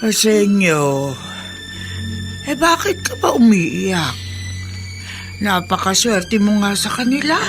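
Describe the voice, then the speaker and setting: An actress playing a concerned older woman in a radio drama, probing and emphatic in her expressive delivery. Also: mid-range voice. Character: concerned older woman, friend of an old man.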